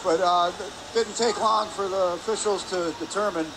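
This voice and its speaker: A man giving live colour commentary at a hockey game, explaining play in a measured, conversational way. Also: high voice, fairly even intonation. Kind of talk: live sports analysis. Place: indoor ice hockey arena. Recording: clear rink noise behind. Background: arena ambience and noise.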